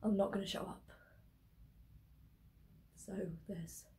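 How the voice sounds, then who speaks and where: A woman acting a stage monologue as a despairing grown daughter explaining herself to her mother in halting, hesitant phrases. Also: medium pitch, fairly even intonation, dark tone. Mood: resigned, sorrowful.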